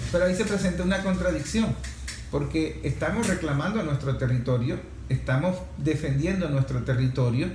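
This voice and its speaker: A Venezuelan man with a fairly high voice presents political commentary as a talk show host. He builds his points phrase by phrase, repeating for emphasis. The room echoes, with faint noise behind him. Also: darkish tone. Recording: roomy echo, faint background noise.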